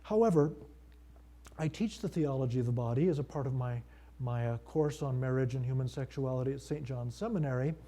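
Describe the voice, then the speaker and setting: A middle-aged male priest giving a conference lecture, measured and thoughtful, with frequent fillers and restarts. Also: mid-range voice, dark tone. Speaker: priest and seminary teacher.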